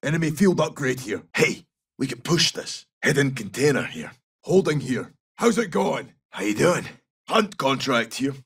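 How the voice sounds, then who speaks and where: A tough Scottish special forces soldier from a military video game. His voice is husky and crackles with gravel, swinging widely in pitch as he snaps out brisk callouts. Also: sing-song, a bit bright, distant mic, exaggerated voice. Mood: brisk, alert. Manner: clipped, brisk, urgent.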